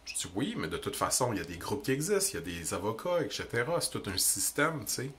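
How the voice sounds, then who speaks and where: A young man with a Québécois French accent, ranting indignantly into the camera about politics in quick, emphatic bursts. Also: fairly low pitch, very animated, fairly bright tone, a little hiss or hum. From Quebec. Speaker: vlogger. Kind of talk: heated political rant.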